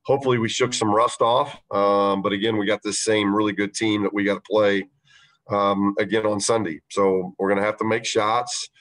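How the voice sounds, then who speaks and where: Man, lowish voice, basketball coach, post-game press answers, halting, lots of fillers. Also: little pitch movement, a bit bright, low-quality recording. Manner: measured, halting, many fillers.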